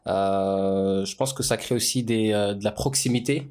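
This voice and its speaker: A man co-hosting a podcast about his brand, explaining things in a calm way with frequent fillers. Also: lowish voice, moderate pace. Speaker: podcast co-host, brand founder. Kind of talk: casual conversational podcast.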